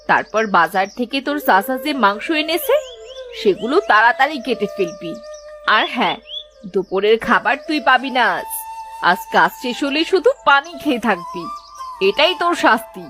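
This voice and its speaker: A woman's voice: on the high side, big pitch swings, moderate pace, over music. A woman playing a cruel, bullying aunt in a cartoon tale, scolding and threatening with sarcastic commands. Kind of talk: animated moral tale voice acting.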